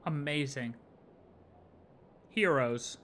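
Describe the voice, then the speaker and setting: Slow, bright-voiced sarcastic streamer woman. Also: low-pitched, slight background noise. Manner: sarcastic, playful running commentary. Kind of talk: live game reaction.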